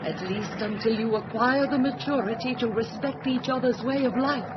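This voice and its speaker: A woman playing a calm, solemn alien emissary in a radio drama, speaking in a formal, measured, lecturing way. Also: fairly high pitch, lightly acted. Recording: dull noisy old radio-drama recording.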